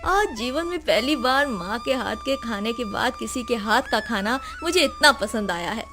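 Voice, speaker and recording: A lonely, humble bachelor from a cartoon moral story, played by a female narrator. The voice is high, with an effusive and plaintive manner. There is music behind it. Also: wildly swooping pitch, normal speed, a bit bright, full character voice. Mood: delighted, grateful. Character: Popatlal, lonely self-pitying bachelor, humble younger man.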